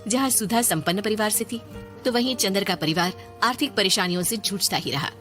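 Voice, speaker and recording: A woman's voice: mid-range voice, fairly quick, fairly bright tone. A woman narrator reading a scripted moral story. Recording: music under the voice.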